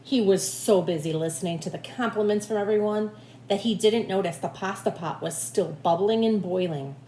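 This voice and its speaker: A woman teacher reading a picture book aloud in an animated way, with asides to young listeners. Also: medium pitch, moderate pace. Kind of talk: expressive children's read-aloud with asides to listeners. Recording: echoey room with faint background noise.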